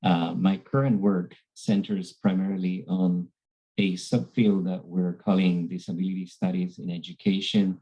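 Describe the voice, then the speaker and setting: A middle-aged man speaks in a very low, husky voice. He talks formally and hesitantly. The call audio is muffled and lo-fi. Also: fairly even intonation, normal speed. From Venezuela. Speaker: panelist, scholar. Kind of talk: panel self-introduction.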